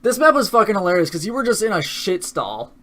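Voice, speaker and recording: A man's voice: high voice, big pitch swings, fast talker, fairly bright tone, slight background noise. Foul-mouthed young man mocking himself. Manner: profane, self-mocking. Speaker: YouTuber reacting to his old videos. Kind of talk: casual commentary.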